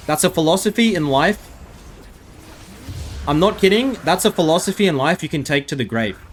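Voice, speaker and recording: An Australian man giving rambling, self-mocking commentary over gameplay, full of chatty asides. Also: on the high side. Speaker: gaming video creator.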